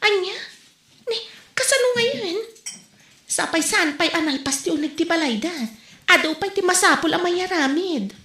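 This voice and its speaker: A woman's voice: very high voice, expressive, normal speed, bright, forceful tone, roomy sound, heavily acted. A woman playing a plain-spoken married village woman who frets about money in a comedy radio drama. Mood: exasperated.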